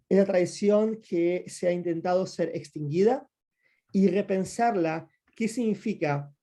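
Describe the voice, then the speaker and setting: A man's voice: high-pitched, creaky, warm tone. A man giving an academic talk over a video call, speaking thoughtfully with repeated phrases and many hesitations. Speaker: guest lecturer. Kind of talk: academic talk with audience questions.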